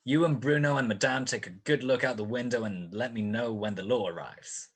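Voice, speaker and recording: An actor playing a tough, sardonic private detective and narrator in 1940s radio style. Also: male voice, mid-range voice, brisk, breathy edge. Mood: triumphant. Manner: sardonic, wisecracking.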